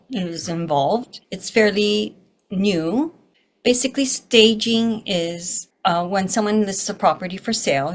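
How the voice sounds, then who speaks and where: Casting: woman from Toronto, interview guest, lowish voice, measured and a little hesitant. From Toronto, Canada. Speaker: guest, home stager. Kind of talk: casual interview answers.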